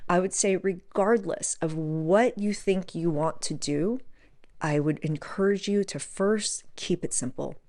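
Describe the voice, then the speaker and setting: A woman's voice: on the low side, unhurried, darkish tone. A woman podcast host talking casually on her own, giving advice conversationally, repeating words for emphasis and asking rhetorical questions.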